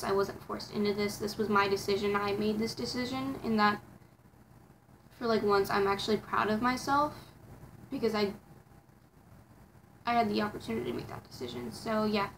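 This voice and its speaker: A teenage girl with a raspy voice, talking to her camera in a rambling, casual vlog full of fillers and restarts. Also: medium pitch, a little hiss or hum, rough recording. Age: teens. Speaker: vlogger.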